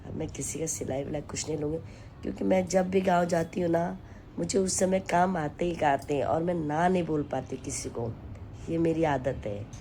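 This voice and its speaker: A hoarse woman with a sore throat, chatting to her followers from home in a weary, sighing way. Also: low voice, dark tone, slight background noise. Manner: slow, weary, sighing between lines. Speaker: vlogger and home tailor. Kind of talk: casual chat to camera. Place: at home, taking steam.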